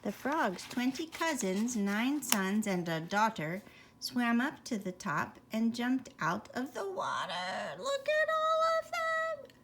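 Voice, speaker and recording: A woman's voice: a little high, very animated, takes it slowly, fairly bright tone, slight background noise. A woman reading a rhyming children's bedtime story expressively, with chatty asides to young listeners.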